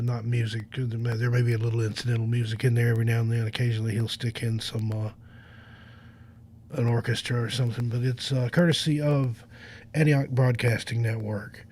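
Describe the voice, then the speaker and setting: Mellow, off-the-cuff man chatting on a livestream. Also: medium pitch, steady tone, normal speed, a little hiss or hum. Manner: rambling, off-the-cuff. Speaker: radio host. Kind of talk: casual livestream chat.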